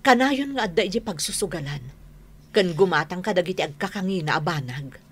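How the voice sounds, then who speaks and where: A woman's voice: fairly low pitch, animated, a little fast, breathy edge. A woman acting in an Ilocano radio drama as a disapproving confidante passing on gossip.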